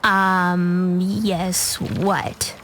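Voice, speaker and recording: A woman's voice: lowish voice, flat delivery, drawn-out words, fairly bright tone, a little hiss or hum. A woman playing an awkward, chatty young superheroine in a video game, rambling and hesitant. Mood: eager, sheepish.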